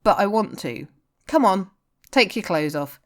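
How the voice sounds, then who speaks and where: A woman's voice: mid-range voice, lively intonation, measured pace. A woman voicing a blunt, teasing but caring male rock singer in story dialogue. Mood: bossy, caring. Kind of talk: audiobook-style character dialogue.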